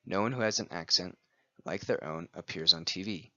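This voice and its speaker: A man's voice: sits low, moderate pace, bright, forceful tone, dry recording, lo-fi sound. A man giving a scripted presentation, reading steadily from a prepared text. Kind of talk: scripted read-aloud presentation.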